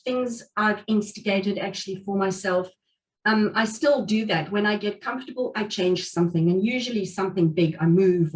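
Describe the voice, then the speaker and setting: Afrikaans-native woman, middle-aged, sick with covid, earnest speaker, distant mic. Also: mid-range voice. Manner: earnest, reflective, many restarts and fillers. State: has covid. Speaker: guest speaker. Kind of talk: motivational talk at a meetup.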